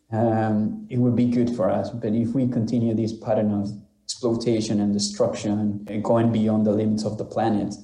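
A man from Honduras with a Honduran accent, a native Spanish speaker, giving personal testimony for a film in a halting, reflective way. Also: lowish voice, dark tone. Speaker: interviewee in a charity film. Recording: echoey room sound.

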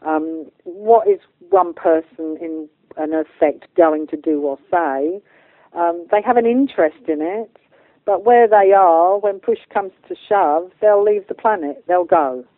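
A woman's voice: on the low side, measured pace, no echo. A woman speaking informally as a spiritual interview guest, earnest and emphatic in colloquial language. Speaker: interview guest, medium and channeller. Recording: narrow phone-like line, dry.

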